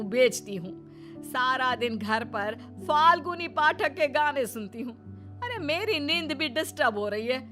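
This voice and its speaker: A woman playing a greedy, scheming older mother-in-law in an animated moral-story cartoon, nagging and taunting, with music behind her. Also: fairly high pitch. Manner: nagging, taunting, drawn-out jibes.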